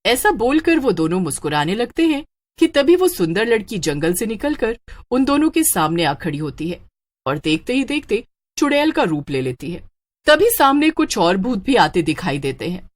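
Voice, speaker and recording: A woman's voice: mid-range voice, big pitch swings, moderate pace. A woman storyteller reading scripted narration for a horror story.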